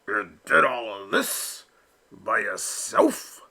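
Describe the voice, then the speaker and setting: A man voicing an old, stubborn father duck who speaks gruffly and insistently. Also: fairly low pitch, sing-song, slow, bright, forceful tone, voice pushed down, full character voice. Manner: gruff, insistent and distant-sounding.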